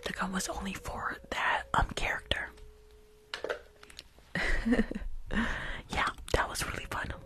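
Young woman, ASMR streamer, casual live chat, whispery, slow pace, close mic. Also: sharp tone. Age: young adult. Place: small bedroom. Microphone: close furry-covered condenser microphone.

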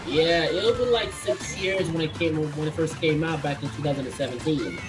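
A woman in her thirties with a low voice chats loosely with friends while streaming a racing game. She swears when she gets hit, and quiet game music runs behind her. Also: moderate pace, low-quality recording. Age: 30s. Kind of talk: casual gaming chat with friends.

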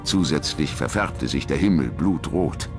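Very deep-voiced male documentary narrator. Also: measured pace, music in the background.

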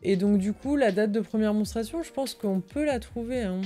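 Mellow-voiced woman live-translating on stream. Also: medium pitch, moderate pace. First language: French. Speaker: livestream co-host. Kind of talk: live translation and commentary of an encyclopedia article. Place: indoor room, livestream. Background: music.

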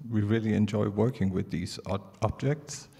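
Conference speaker: Danish man, middle-aged, mellow and gravelly, halting delivery into a handheld mic in a reverberant auditorium. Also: lowish voice. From Denmark. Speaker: artist presenting at a conference. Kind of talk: prepared stage presentation. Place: large indoor theatre stage. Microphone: handheld microphone.

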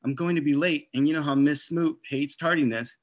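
A man's voice: fairly high pitch, fairly quick, close to an everyday voice. A man voicing a young girl who loves bubble gum, pleading and dramatic, over a video call.

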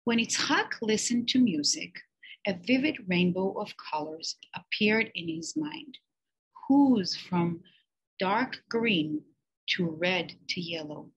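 A woman reads a children's picture book aloud in a slightly husky voice, taking her time. She tells the story expressively, leaning on dramatic pauses the way a teacher does for a class. Her pitch sits in a natural middle range. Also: very animated, muffled recording.

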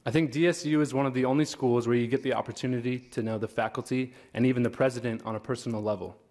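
A man's voice: medium pitch, fairly even intonation, quick pace, darkish tone. A young man giving a commencement speech at a podium on a large auditorium stage, earnest with light jokes. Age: young adult. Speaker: graduating student speaker. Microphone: podium microphone.

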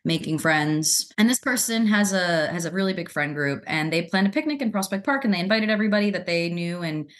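A young woman from Costa Rica, a restaurant professional, telling a story as a podcast guest in a relaxed way, laughing easily. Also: on the low side, fairly quick, few pauses. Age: young adult. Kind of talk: storytelling in conversation.

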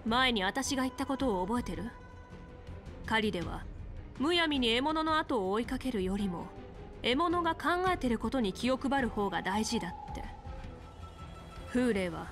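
A woman's voice: a little high, natural voice. Resolute, commanding female general, played by a woman. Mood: confident, explaining. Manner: authoritative, measured explaining. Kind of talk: Japanese anime game voice acting. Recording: music behind.

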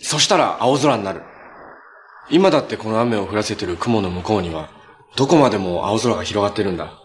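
A male actor plays a young adventurer hero from a TV superhero drama, friendly, gentle and resolute. His delivery swings freely in pitch as he talks in an earnest, casually polite way. A faint noise sits behind the dialogue. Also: animated, fairly quick, natural voice. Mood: earnest, reassuring. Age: young adult. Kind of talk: live-action TV drama dialogue.

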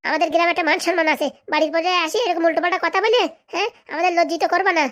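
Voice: very high-pitched, fairly even intonation, very fast. A performer voicing a bossy, scolding middle-aged village wife in a comedy cartoon. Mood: indignant. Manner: scolding, sharp-tongued, dismissive.

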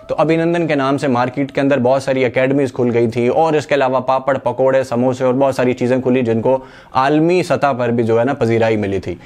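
A man's voice: medium pitch, a little mellow. A young man from Pakistan with a Pakistani Urdu accent, presenting current-affairs commentary in a conversational way with fillers, talking straight to viewers. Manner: conversational, many fillers, addresses viewers directly. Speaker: news channel presenter. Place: studio in front of a green screen. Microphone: clip-on lapel mic. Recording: faint background music.

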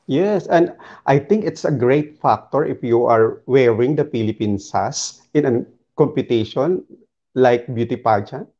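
A man's voice: medium pitch, expressive, unhurried, warm tone, lo-fi sound. A man hosting a casual interview, asking questions and adding short friendly replies. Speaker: interview host. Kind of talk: casual interview, mixing English and Tagalog.

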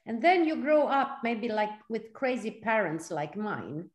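A middle-aged woman from Serbia and Sweden, teaching conversationally as a seminar facilitator, in short chunks with pauses, on a video call. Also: a little high, very animated. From born in Serbia, grew up in Sweden. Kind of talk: conversational teaching talk for live interpretation. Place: indoor room, video call. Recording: echoey room.

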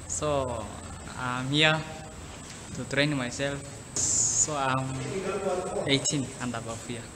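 A man from Kenya, a trainee, introducing himself slowly and simply. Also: medium pitch, fairly even intonation, noisy background. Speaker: student. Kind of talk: interview statement. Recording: echoey hall, music behind.

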